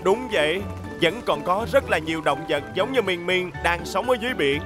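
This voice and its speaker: An actor voicing a cheerful, warm-hearted talking boat in a children's cartoon dub, hearty and expressive. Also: mid-range voice, normal speed, lightly acted. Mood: grateful. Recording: loud music behind.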